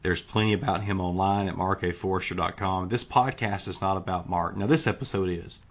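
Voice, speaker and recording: Deep-voiced tired podcast host. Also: male voice, fairly even intonation, brisk, lo-fi sound. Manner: folksy, rambling with restarts. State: tired, late at night. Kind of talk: casual conversation.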